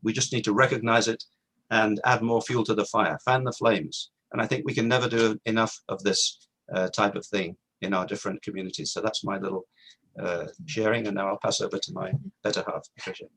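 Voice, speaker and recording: A man's voice: on the low side, fairly even intonation, brisk, fairly bright tone, breathy edge, rough recording. A middle-aged man giving an informal talk at an online community event, reflective and earnest, repeating points for emphasis. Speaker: guest speaker.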